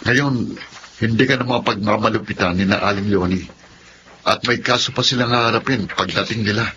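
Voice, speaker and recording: Actor as a low, reassuring male rescuer. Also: a little fast, close to an everyday voice. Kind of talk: Filipino radio drama acting. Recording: dull, noisy recording.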